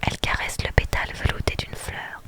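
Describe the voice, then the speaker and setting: A reader giving a whispered ASMR reading of a novel on a live stream, pausing now and then to thank viewers. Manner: soft steady whisper, thanks viewers between passages.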